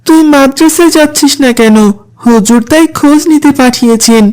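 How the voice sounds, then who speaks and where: A woman's voice: high voice, a little fast, a little mellow, natural voice. A woman voicing an earnest, polite young schoolboy in a children's moral cartoon. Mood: prodding, concerned.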